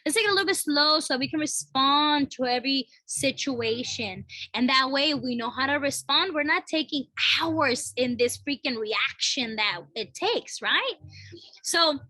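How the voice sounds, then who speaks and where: A woman co-host talking earnestly in a casual spiritual chat. Her voice sits high and sounds bright and sharp. The sound is dry and close. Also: lively intonation, a little slow, dry recording, poor audio. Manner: reads a book passage aloud, then reflects; earnest, repeats phrases with tag questions. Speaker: podcast co-host.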